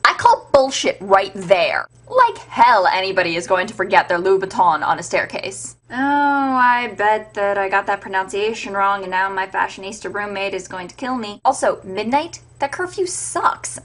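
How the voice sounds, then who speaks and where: A woman's voice: mid-range voice, expressive, normal speed, few pauses, a bit bright. A woman host giving an irreverent, sarcastic, sweary comedic retelling of fairytales as scripted narration. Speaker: storyteller and web show host.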